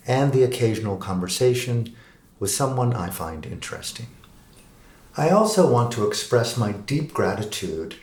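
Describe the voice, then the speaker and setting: A man's voice: on the low side, a little mellow, echoey room. A man speaking as a podcast host and meditation teacher.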